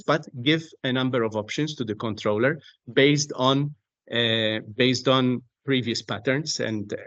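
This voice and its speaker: A man speaking as an expert guest in an explanatory interview, deliberate and halting, often repeating words as he searches for phrasing. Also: medium pitch, a bit bright, no room sound, dull audio. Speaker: aviation technology company expert, interview guest. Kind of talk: explanatory interview talk on a technical subject.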